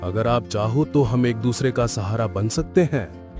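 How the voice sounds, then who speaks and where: A man's voice: medium pitch, expressive, measured pace, over music, lightly acted. A man playing a kind, courteous father billy goat in a cartoon story, speaking politely and earnestly. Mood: tender, hopeful. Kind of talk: Hindi cartoon story acting.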